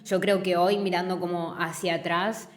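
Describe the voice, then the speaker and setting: A woman from Buenos Aires with an Argentine accent, talking earnestly and reflectively in a relaxed interview, often rambling and restarting. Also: on the low side, normal speed, reverberant. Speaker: fitness business co-founder, guest.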